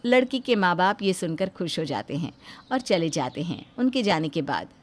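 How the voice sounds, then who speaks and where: A woman's voice: fairly low pitch, big pitch swings, normal speed. A woman narrating a scripted moral story between lines of dialogue.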